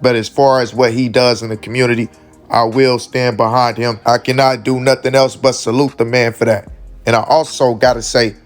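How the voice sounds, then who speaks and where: Hoarse male host, earnest casual commentary, faint music behind. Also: mid-range voice. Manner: earnest, repeats "man" often. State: hoarse, losing his voice.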